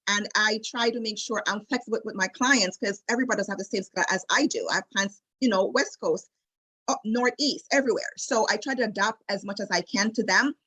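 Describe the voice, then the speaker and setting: A woman in her late forties with a Jamaican accent, a wedding travel specialist chatting as an interview guest in a lively storytelling way, with many fillers and restarts. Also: mid-range voice, fairly quick, husky, rough recording. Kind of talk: casual interview chat.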